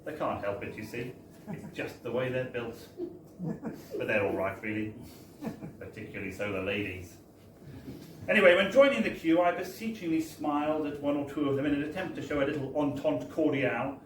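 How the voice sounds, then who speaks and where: A man's voice: mid-range voice, normal speed. A British man reading a wry first-person comic story aloud at a live event, in a chatty, theatrical storytelling manner. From Britain. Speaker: reader at a book launch. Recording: echoey live bar, distant mic, faint noise.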